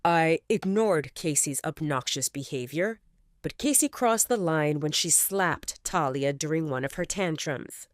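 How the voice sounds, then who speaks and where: Woman doing a stern alpha wolf guy, short guarded replies, low-ish voice, dry audiobook mic. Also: animated, a little slow, dry recording. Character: stern young male alpha werewolf leader.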